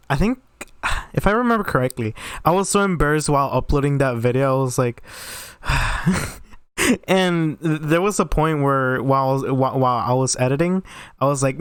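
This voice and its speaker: Giggly Mexican teen boy, smooth voice. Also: mid-range voice, no room sound, studio quality. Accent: Mexican Spanish. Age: teens. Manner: giggly, rambling with many restarts. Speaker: podcast host. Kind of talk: casual chat.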